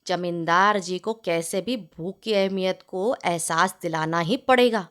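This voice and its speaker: A woman's voice: lowish voice, measured pace, a bit bright, voice pushed down. A woman voicing a clever, patient male gardener in a storybook tale, explaining things respectfully to his master. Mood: resolved. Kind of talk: storybook character voice in narrated tale.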